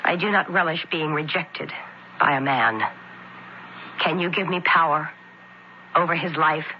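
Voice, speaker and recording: A woman's voice: low-pitched, unhurried. Vengeful ancient noblewoman, imperious, theatrical radio drama delivery, lo-fi sound, noticeable hiss. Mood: vengeful, pleading. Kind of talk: 1970s radio drama acting. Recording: muffled old radio broadcast, clear hiss.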